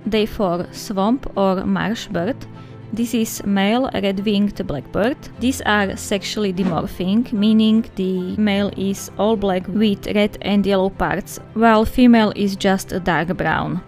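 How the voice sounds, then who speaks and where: A woman's voice: medium pitch, fairly even intonation, measured pace, a little mellow, soft background music. A woman with a Slovak accent, a native Slovak speaker, chatting in a friendly, casual way as she flips through her sketchbook for viewers. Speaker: art vlogger.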